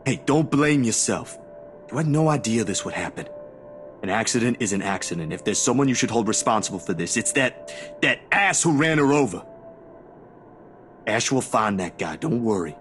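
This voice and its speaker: A man's voice: mid-range voice, animated, normal speed, fairly bright tone, soft background music, lightly acted. An actor in a story game playing a simple, caring man who talks in a plain, polite, reassuring way. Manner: plain-spoken, reassuring, informal. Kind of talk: dramatic game dialogue.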